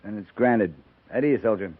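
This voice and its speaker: Low-voiced, measured American cavalry captain, played by a man. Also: slight background noise, close to an everyday voice. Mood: calm, questioning. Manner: deliberate, terse, thoughtful pauses. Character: measured, authoritative but compassionate cavalry captain. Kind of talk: 1950s radio drama acting. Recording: tinny narrow-band 1950s radio recording.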